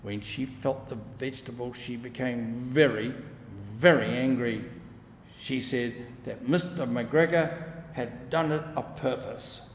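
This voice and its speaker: A man narrating a children's story from a script in a measured, deliberate storytelling way. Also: mid-range voice. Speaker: storybook reader. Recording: echoey room, long reverb, steady background noise.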